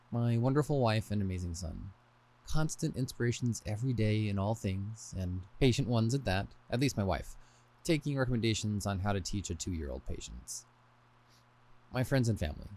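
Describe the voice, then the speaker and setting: Low-voiced man reading his own book. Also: a little mellow. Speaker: podcast host and author. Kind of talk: scripted podcast intro and reading aloud of own book.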